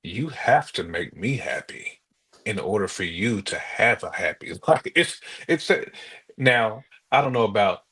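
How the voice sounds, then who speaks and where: An American man co-hosting a podcast in casual conversation, telling things in an animated, colloquial way with imagined quotes. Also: fairly low pitch, unhurried, husky. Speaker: podcast co-host.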